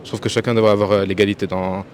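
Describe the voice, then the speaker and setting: A young man from Morocco, answering a street interviewer earnestly with hesitant pauses, outdoors on a city street. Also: on the low side, flat delivery.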